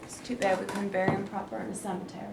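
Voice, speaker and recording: Woman playing a creaky, resigned farm wife, far off. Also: medium pitch, level tone, rapid-fire, lightly acted. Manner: terse, echoing, resigned. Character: sharecropper's wife, flat, resigned, suspicious. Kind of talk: staged play reading. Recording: echoey room, distant mic, clear noise.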